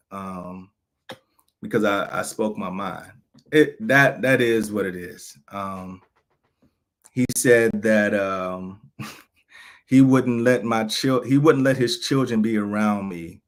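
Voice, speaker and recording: A man in his thirties giving an unscripted personal monologue, frank and aggrieved, often restarting and repeating phrases. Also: lowish voice. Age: 30s. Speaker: vlogger.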